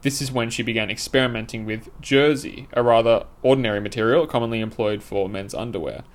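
A man's voice: mid-range voice, fairly quick. A man with a mid-range voice narrates an essay he wrote himself. His delivery is scripted and formal, with literary phrasing. He states his opinions plainly, as an author reading his article aloud.

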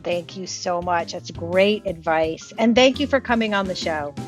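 A woman's voice: lowish voice, normal speed, faint music behind. A woman hosting an interview podcast, putting questions to a guest and addressing listeners. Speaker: podcast host.

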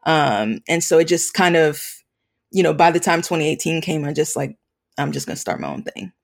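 A woman speaking as an interview guest, reflective and earnest, measured with pauses. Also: deep voice, lively intonation, creaky. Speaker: guest, campus ministry leader.

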